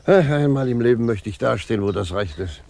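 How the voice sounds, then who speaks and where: An actor playing a wry, defiant old retired judge in a radio drama, musing ironically. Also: male voice, mid-range voice, animated, a little fast, vocal fry, darkish tone. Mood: indignant, musing. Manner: musing, ironic, chuckling rhetorical questions. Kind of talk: 1950s German radio drama acting. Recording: noisy 1950s radio recording.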